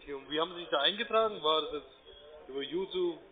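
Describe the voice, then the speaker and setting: A man with a higher-pitched voice talks quickly over a tinny telephone line. He sounds like a chatty call centre agent, politely asking one question after another. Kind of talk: cold sales call. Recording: tinny narrowband phone line.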